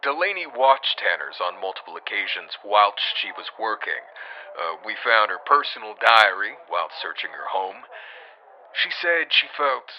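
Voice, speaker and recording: Low-voiced male police detective, muffled. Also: very animated, a little slow, a little hiss or hum. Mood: matter-of-fact, grim. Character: measured, matter-of-fact male police detective. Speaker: police detective being interviewed. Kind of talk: audio drama interview.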